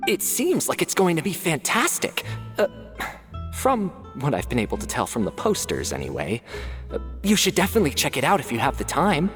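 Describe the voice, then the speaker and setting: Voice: mid-range voice, wildly swooping pitch, brisk. An actor voicing a friendly, easygoing young agent who chats hesitantly with fillers, over game music. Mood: chatty.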